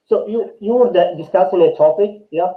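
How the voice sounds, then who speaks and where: A man with a Moroccan accent introducing himself haltingly, repeating words, over a garbled weak internet connection. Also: high voice, narrow, tinny audio. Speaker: student, trainee teacher. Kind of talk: hesitant self-introduction.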